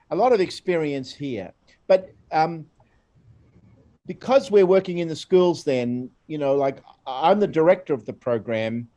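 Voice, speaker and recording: Australian man, program director; rambling conversational presenter, slightly high and mellow. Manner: chatty, rambling, warm encouragement of his guest. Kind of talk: informal recorded presentation.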